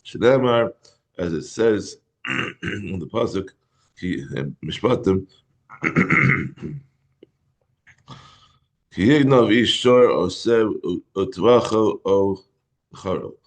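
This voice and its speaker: A hoarse middle-aged man teaching a Talmud class in a halting way, often clearing his throat. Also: fairly low pitch, expressive. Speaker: Torah teacher.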